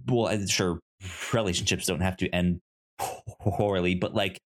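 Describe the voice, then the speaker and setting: A man with a frequent stutter talking casually as a co-host, halting and rambling as he repeats sounds and words. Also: low voice, steady tone. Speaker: podcast co-host. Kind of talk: casual conversational film discussion.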